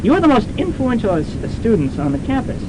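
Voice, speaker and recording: A man puts on a scheming, fussy English professor in a radio comedy. The voice is high and full of hesitant stammers. The recording is clearly noisy. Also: huge pitch swings, fast talker, heavily acted. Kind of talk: campus radio comedy drama acting.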